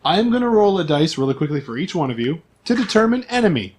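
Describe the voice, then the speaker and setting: A man's voice: fairly high pitch, very animated, fast, a little hiss or hum. A man speaking casually as a game master and podcast host, narrating a tabletop game.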